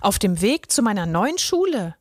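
A woman's voice: mid-range voice, big pitch swings, moderate pace, no room sound, clean studio sound. A woman reading a children's story, voicing a timid, earnest young boy who is a drinking straw. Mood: earnest, shy.

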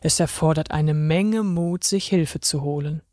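Storybook figure: gentle, deep-voiced pen ticket inspector, voiced by a woman, low and warmly encouraging, dry recording. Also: measured pace, dry recording. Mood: reassuring. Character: kindly male pen ticket inspector with mustache. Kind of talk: children's story reading.

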